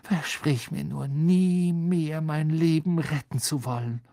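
A man's voice: on the high side, fairly even intonation, slow, creaky, dark tone, no room sound, clean studio sound. A man voicing an earnest, eager schoolboy hero in a storybook. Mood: amused.

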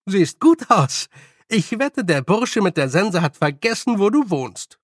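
A man voicing a brisk, bossy, good-humoured old woman witch with a loud, teasing and scolding manner, in an audiobook. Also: high-pitched, sing-song, no echo, studio quality, voice pushed up, exaggerated voice. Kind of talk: audiobook character voice.

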